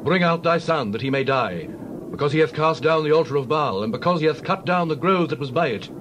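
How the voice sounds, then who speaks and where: A man's voice: on the high side, animated, a little fast, fairly bright tone, dull audio, a little hiss or hum. An actor playing an angry, demanding townsman in a dramatized scripture reading.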